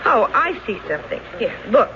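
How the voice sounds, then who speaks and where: An actress with an American accent playing a loving, practical, skeptical wife in a radio drama, talking briskly, dryly and coaxingly. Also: female voice, fairly high pitch, big pitch swings. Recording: muffled, faintly noisy 1970s radio recording.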